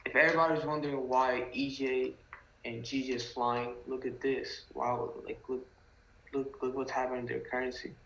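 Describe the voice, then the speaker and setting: A man on a livestream call, talking excitedly about currency moves in casual market talk. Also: medium pitch, normal speed, reverberant, muffled recording, a little hiss or hum.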